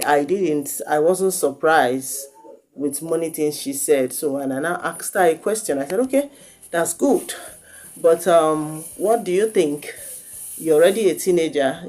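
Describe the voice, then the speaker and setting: A woman's voice: sits low, darkish tone. A woman from Lagos with a Nigerian accent, giving informal parenting advice in a conversational way, posing questions and answering them herself. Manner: conversational, asks and answers own rhetorical questions. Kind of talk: informal advice talk with anecdotes.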